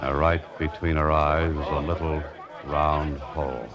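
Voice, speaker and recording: A man's voice: rumbling low, little pitch movement, a little slow, over loud music. A man playing a cool, wry American amateur detective in a 1940s radio mystery, clipped and understated. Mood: cool, suspenseful. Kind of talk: 1940s radio drama narration and acting. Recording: dull old radio recording.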